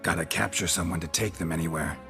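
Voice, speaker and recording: A very deep-voiced, laconic monster hunter from a fantasy game. His delivery is dry and blunt, with few words and a flat, unbothered manner. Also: fast, vocal fry, over music. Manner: deadpan, terse. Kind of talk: dramatic video game voice acting.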